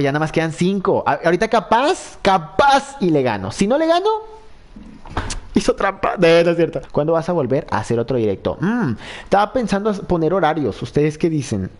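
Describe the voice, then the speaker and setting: A young Mexican man with a Mexican Spanish accent, streaming a game live and chatting playfully as he reads comments. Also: a little mellow. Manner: playful, chatty, laughs often, jumps into high squeals. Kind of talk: live-stream gameplay chat reading comments.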